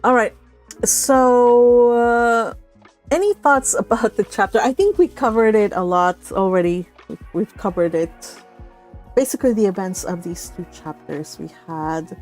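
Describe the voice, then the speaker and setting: A millennial woman hosting a book read-along livestream, chatting casually with viewers in an animated, giggly, exclaiming way. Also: a little high, a little slow, soft background music. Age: 30s to early 40s. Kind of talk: casual live chat with viewers.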